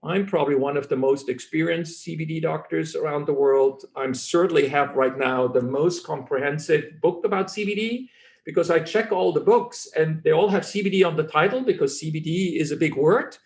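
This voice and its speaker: A man's voice: a little high, brisk, creaky. A middle-aged man whose first language is German, speaking English as a physician guest in an interview, self-assured and earnest, often restarting phrases.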